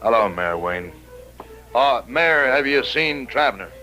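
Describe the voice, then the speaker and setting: An actor playing a calm, fearless hired gunman in an old American radio western, terse and deliberate with a cool menace. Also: medium pitch. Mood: grim calm. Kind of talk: 1950s radio western acting. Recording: old radio recording with music.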